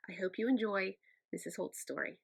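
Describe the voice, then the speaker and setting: Lady teacher walking kids thru a lesson step by step, husky voice w lots of fry. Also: medium pitch, brisk. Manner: explanatory, step-by-step. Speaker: teacher of the deaf. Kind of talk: instructional sign language lesson for children.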